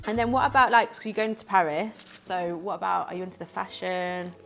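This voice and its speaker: A woman's voice: on the low side, steady tone, fairly quick, gravelly. A woman hosting a chat in a hair salon, asking curious questions in a bubbly way. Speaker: host stylist. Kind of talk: casual chat.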